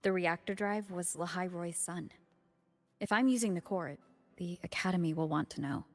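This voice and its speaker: A woman playing a calm, guarded young hero in a video game, speaking in a measured, reserved way. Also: fairly low pitch, reverberant, long reverb tail, soft background music, natural voice. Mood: matter-of-fact.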